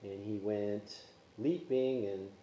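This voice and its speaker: A man's voice: lowish voice, fairly even intonation, slow, warm tone, highs cut off, a little hiss or hum. Give me a man teaching Sunday school in an echoey room. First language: Polish. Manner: conversational, asks the class questions. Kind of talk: Bible class teaching. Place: church classroom.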